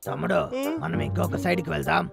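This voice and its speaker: A big-brother bear in a dubbed children's cartoon. The voice is heavily creaky and gruff, bossy and protective but quick to anger. Loud music plays right behind it. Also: medium pitch, steady tone. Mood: reassuring.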